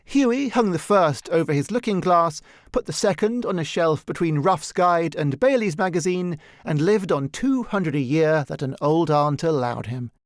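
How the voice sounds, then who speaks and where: A man narrating a literary story from a script in an expressive, measured storytelling manner. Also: fairly high pitch, no room sound, studio quality. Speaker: audiobook narrator.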